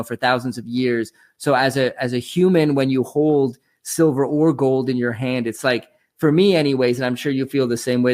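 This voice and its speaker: A man with a Canadian accent, talking casually and at length as an interview guest, explaining his points conversationally. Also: mid-range voice. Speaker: interview guest, online commodities show host.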